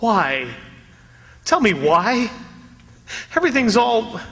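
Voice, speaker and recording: An actor playing a wronged prisoner's spirit in a radio drama, insistent, accusing and indignant. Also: male voice, very high voice, very animated, slow, fairly bright tone, big-room sound, full character voice. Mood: bewildered, indignant. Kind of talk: old-time radio theatre acting.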